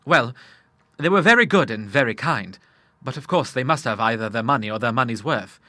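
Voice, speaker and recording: An honourable, earnest son voiced by a man in an audiobook. He speaks formally and emphatically in a sharp, forceful voice of middle pitch, with lively intonation. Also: brisk, no room sound. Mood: earnest, worried. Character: earnest, honourable grown son burdened by debt. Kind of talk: audiobook character voice.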